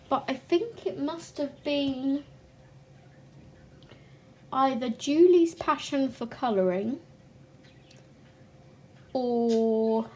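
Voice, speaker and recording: Croaky young woman chatting slowly to camera, a bit out of breath, faint hiss behind. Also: a little high, a little mellow. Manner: reflective, stops to clear her throat. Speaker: colouring channel host. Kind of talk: casual chat to camera.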